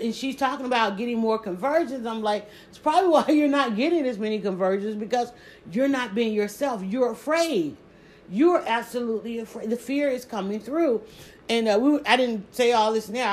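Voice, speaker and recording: A woman with a Southern accent chatting casually on a live stream, talking quickly and restarting her sentences often. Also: a little high. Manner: rapid, stumbling restarts, animated emphasis. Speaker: social media creator.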